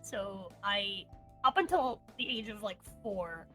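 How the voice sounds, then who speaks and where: Young female streamer, native Spanish speaker of US-Cuban background. Bright, sharp tone, excitable and sweary, casual interview chat. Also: medium pitch, takes it slowly, faint music behind. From United States, of Cuban parents. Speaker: online streamer being interviewed.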